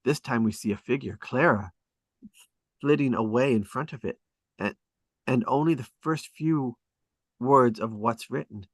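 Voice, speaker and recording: A man's voice: mid-range voice, measured pace, long pauses, no echo. A man reading stage directions as dramatic scripted narration at a table read.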